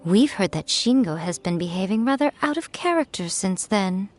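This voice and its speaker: An actress playing a composed, courteous noblewoman with polite, measured speech in a video game. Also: mid-range voice, lively intonation, close to an everyday voice. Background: game music.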